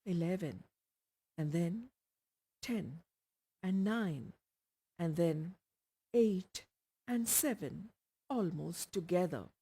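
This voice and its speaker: A woman voicing a sick young woman in a story, resigned, listless and quiet. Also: lowish voice, lively intonation, takes it slowly, long pauses, breathy edge, full character voice. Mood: quiet, counting.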